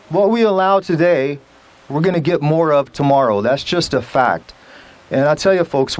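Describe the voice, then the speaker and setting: A man with a fairly high voice gives a rousing rally speech. He talks emphatically, pushing his points with rhetorical questions. It sounds like a public address to a gathered crowd. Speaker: legislator speaking at a rally.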